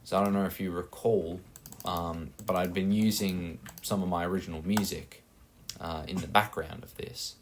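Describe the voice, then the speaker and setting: A man's voice: low-pitched, fairly even intonation, moderate pace, background noise. A young Australian man rambling casually over his gameplay, with many fillers and self-mocking asides. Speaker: gaming channel host.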